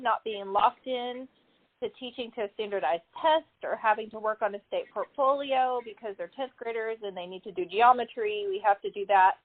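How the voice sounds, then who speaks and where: A woman educator on a call-in town hall, speaking earnestly and steadily, thinking aloud with ums. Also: on the high side. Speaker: educator at a special school. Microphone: telephone. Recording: tinny phone line.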